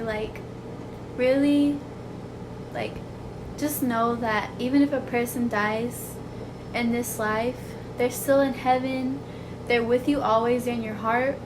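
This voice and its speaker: A young woman talks straight to her viewers in a soft, sweet voice that sounds almost childlike and sits a little high. She is earnest and rambling, with her thoughts spilling out between constant "like"s and "you know"s. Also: noisy background. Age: young adult. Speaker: vlogger. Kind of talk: heartfelt personal talk to camera.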